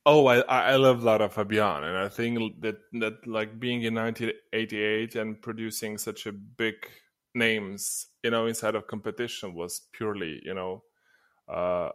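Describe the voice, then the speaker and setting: A man's voice: on the low side, fairly bright tone. A man co-hosting a casual podcast, speaking hesitantly with many restarts. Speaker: podcast co-host. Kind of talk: casual chat.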